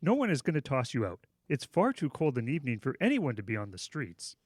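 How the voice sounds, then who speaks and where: Kindly, flustered pastor with swooping pitch. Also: male voice, wildly swooping pitch, a little fast, no echo, clean studio sound. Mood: earnest pleading. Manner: hesitant, apologetic pleading. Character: kindly, flustered, forgetful male church pastor. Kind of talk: holiday radio drama acting.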